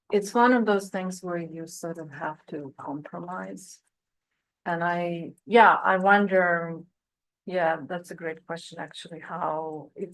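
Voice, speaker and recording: A woman translator on a panel, answering a question thoughtfully with soft fillers and restarts. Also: fairly low pitch, poor audio.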